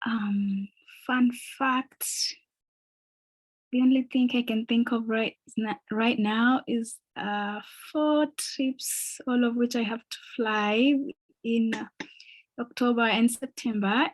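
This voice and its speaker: A woman speaks in a higher voice at an unhurried pace on a video call. She is thoughtful and pauses on fillers as she talks. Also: steady tone, poor audio. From Kenya. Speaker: social entrepreneur. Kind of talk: self-introduction on a video call.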